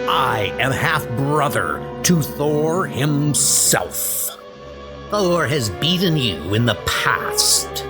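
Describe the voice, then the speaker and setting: Guy doing an evil scheming god villain, slow n grandiose, really theatrical swoops, comic dub style. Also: medium pitch. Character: scheming, menacing male trickster god of evil, grandiose and vengeful.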